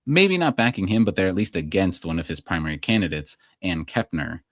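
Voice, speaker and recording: A man's voice: sits low, animated, fairly quick, no room sound, clean studio sound. An American man co-hosting a podcast, chatting about politics in an informal, opinionated way peppered with filler likes. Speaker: podcast co-host. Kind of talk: casual political chat.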